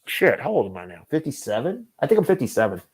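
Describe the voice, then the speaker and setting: A man in his fifties hosts a relaxed conversation in a mid-range voice. He rambles through long anecdotes and pokes fun at himself. His speech is loose and conversational, full of asides. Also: medium pitch, quick pace, slight background noise. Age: 50s. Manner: rambling, self-deprecating storyteller. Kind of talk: casual chat.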